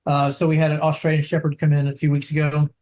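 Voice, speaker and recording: A man who trains dogs, talking casually over a video call, soft-spoken and rambling, often checking in with "right?". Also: fairly high pitch, completely flat delivery, fast. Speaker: dog trainer.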